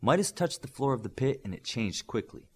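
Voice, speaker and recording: A man reads an adventure story as an audiobook narrator. His voice is mid-pitched and a little rough-edged, with lively swings in pitch as the story unfolds. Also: brisk. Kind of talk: scripted fiction narration.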